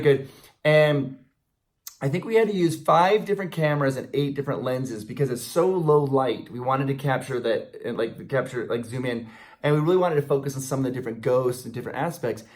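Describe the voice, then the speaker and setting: A middle-aged American man co-hosting a video, chatting casually and retelling stories with quoted dialogue. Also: mid-range voice. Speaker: co-host of a theme park video channel. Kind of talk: casual chat, anecdotal storytelling.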